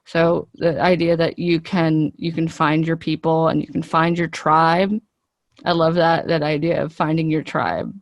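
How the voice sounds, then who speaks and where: Female interviewee, actress. Lower-leaning voice, very little room sound, earnest and chatty, works out answers as she talks. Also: level tone, unhurried, no room sound.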